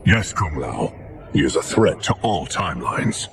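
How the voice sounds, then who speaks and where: An actor playing a weary guardian deity, solemn and formal, in a video game cutscene. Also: male voice, a bass voice, very animated, a little slow, very noisy, heavily acted. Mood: grave. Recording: cinematic music under dialogue.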